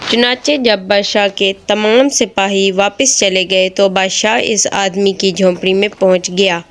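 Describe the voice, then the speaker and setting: A woman's voice: mid-range voice, fairly even intonation, unhurried. A woman storyteller reading a scripted moral tale as narrator. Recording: music behind the voice.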